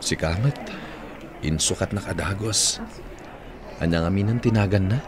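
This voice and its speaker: A man's voice: low voice, normal speed, noisy background. A man with an Ilocano accent acting in a radio drama as an earnest old flame wooing a woman again. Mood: earnest, romantic. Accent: Northern Philippine, Ilocano.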